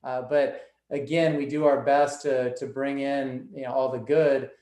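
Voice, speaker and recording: A man's voice: mid-range voice, flat delivery, measured pace, dark tone. Man talking in an echoey room. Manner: earnest, loose, filler-heavy. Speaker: guest. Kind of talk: casual conversation.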